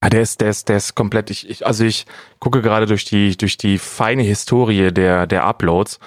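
A man's voice: on the low side, fairly even intonation, moderate pace, dry recording, studio quality. A man co-hosting a casual comedic podcast, talking in an ironic, wordy, mock-formal way. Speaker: podcast co-host.

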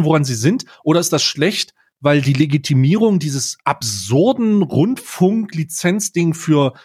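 A man's voice: a little high, husky. A man talking casually as a podcast host, emphatic and fond of posing rhetorical questions.

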